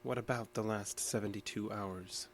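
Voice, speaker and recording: A man's voice: on the low side, fairly even intonation, normal speed, a little hiss or hum, natural voice. An actor playing a stubborn, guarded diner manager in an audio drama, terse and insistent in his answers. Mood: confused, wary. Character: guarded, stubborn male diner assistant manager under questioning.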